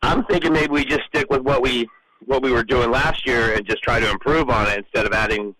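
A man's voice: medium pitch, low-quality recording. Man talking football over background chatter. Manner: halting, repeats words as he goes. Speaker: sports radio show panelist. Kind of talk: casual football discussion.

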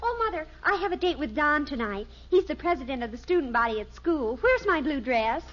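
Gushing American teenage girl, dramatic, bright piercing tone, vintage radio sitcom, dull old recording. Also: high-pitched, big pitch swings, brisk. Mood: gushing, excited. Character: excitable, gushing teenage daughter, eager for independence. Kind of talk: 1950s radio sitcom acting.

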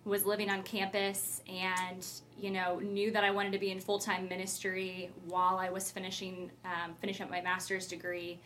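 A woman's voice: mid-range voice, monotone, moderate pace, few pauses, fairly bright tone, slight background noise. A woman in her twenties from Iowa, a youth ministry staffer answering interview questions in an earnest, friendly way with lots of fillers.

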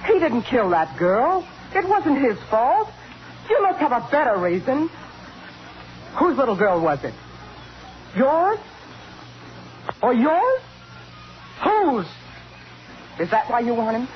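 A woman's voice: high-pitched, big pitch swings, slow pace, pauses often, exaggerated voice. A woman acting a devoted, pleading young woman in a 1950s radio thriller. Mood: challenging. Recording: dull vintage radio broadcast.

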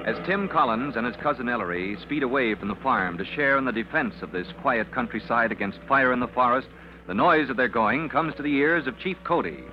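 A man's voice: mid-range voice, lively intonation, fairly quick. Muffled male radio serial narrator. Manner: dramatic, storytelling. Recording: muffled old radio recording, faint noise.

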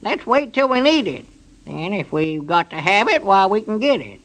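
A highish, fretful old man's voice in a 1930s radio comedy. He is a penny-watching country storekeeper who grumbles and worries in a folksy rural Southern American accent. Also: big pitch swings, moderate pace, fairly bright tone, exaggerated voice. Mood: doubtful, protesting. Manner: folksy, worrying, complaining. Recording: 1930s radio broadcast recording.

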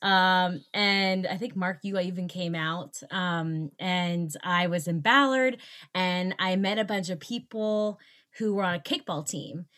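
A woman's voice: on the low side, a little slow, a bit bright. A woman hosting an interview, asking friendly, meandering questions with frequent ums and restarts. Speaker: podcast host. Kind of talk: interviewing a guest.

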